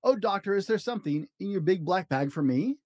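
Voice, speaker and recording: Man putting on a hissing, animated snake. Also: high-pitched, fairly quick, dry recording, studio quality. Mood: pleading. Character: hot, hissing, pleading snake.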